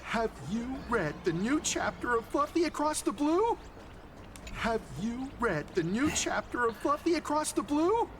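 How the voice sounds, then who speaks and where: An actress playing an excitable, easily indignant young comic-fan girl in a video game. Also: high-pitched, measured pace, a little hiss or hum. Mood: eager.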